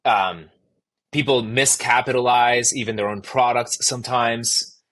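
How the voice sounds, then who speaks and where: A man with an Argentinian accent, a startup founder answering podcast interview questions in animated, emphatic bursts. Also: mid-range voice, unhurried.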